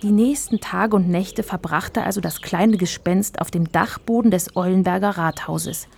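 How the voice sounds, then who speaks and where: An actress playing the narrator of a children's audio drama, telling the tale in a composed, measured, scripted way. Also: female voice, fairly low pitch, gravelly, a little mellow, a little hiss or hum. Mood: composed storytelling.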